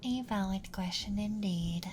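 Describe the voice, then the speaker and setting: A man voicing a playful young alien abductor in a whispered ASMR roleplay, speaking softly in a teasing, clinical manner. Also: sits high, a little slow, background noise.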